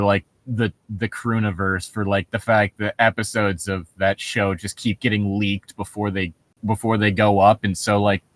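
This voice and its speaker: A man's voice: on the low side, a bit bright, no echo. A young adult man co-hosting in a casual, rambling, joking chat, swearing freely and prone to giggling. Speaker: podcast co-host reading and riffing on horror stories. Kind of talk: casual joking chat with bits of reading aloud.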